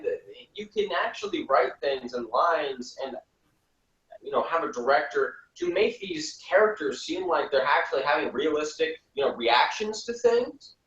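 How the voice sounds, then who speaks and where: A man's voice: on the high side, a bit bright. A man chatting casually as a reviewer, giving blunt, informal opinions. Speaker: podcast reviewer. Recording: dull, roomy, distant mic.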